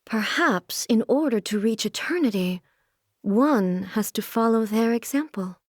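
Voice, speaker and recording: An actress voicing a solemn, aloof immortal shogun and swordswoman in a video game, with formal, measured, stately delivery. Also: on the high side, expressive, no room sound, studio quality. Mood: reflective, composed. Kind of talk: video game character voice lines.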